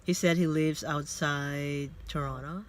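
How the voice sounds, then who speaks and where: Slow, pausing woman in her fifties. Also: deep voice, fairly even intonation, a little hiss or hum. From Philippines. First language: Tagalog. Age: 50s. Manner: hesitant, reflective, thinks aloud with many pauses. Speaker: vlogger. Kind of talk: casual personal storytelling. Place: inside a car.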